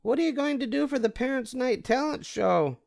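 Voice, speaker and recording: A man's voice: very high-pitched, animated, vocal fry, a little mellow, no room sound, clean studio sound, voice pushed up, exaggerated voice. A man voicing a curious, cheeky young boy bear cub in a storybook reading. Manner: quick wisecracking kid voice. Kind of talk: storybook read-aloud.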